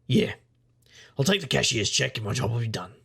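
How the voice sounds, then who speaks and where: An actor playing a sardonic, world-weary private investigator, narrating and talking in a dry deadpan noir style. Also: male voice, mid-range voice, a little fast, creaky, breathy edge, heavily acted. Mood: dry, knowing. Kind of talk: noir audio drama, first-person narration and dialogue.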